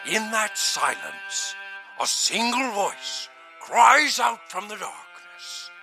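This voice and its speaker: A man playing a solemn, folksy small-town storyteller in a comedy audio drama, speaking with mock gravity and dramatic pauses. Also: sits high, sing-song, music in the background, exaggerated voice.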